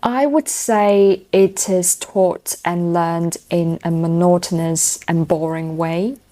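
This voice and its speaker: Fluent woman with a lowish voice. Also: steady tone, slow pace, mellow. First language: Cantonese. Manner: fluent, informal, uses slang. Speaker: IELTS speaking candidate. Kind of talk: answering interview questions.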